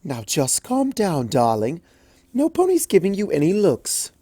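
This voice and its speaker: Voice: medium pitch, huge pitch swings, unhurried, dark tone, no echo, voice pushed up. A reader voicing a refined, fussy unicorn seamstress mare in a storybook reading, prim and genteel. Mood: soothing. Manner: prim, genteel, says darling. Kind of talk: audiobook fanfic reading.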